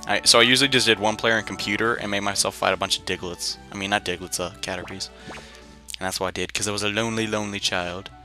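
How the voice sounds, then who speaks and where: A young man with a slightly low, very sharp and bright voice hosts a let's play. He rambles casually in slang and drops jokey asides. Faint game music plays underneath him. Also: steady tone, fast. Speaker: gaming video host.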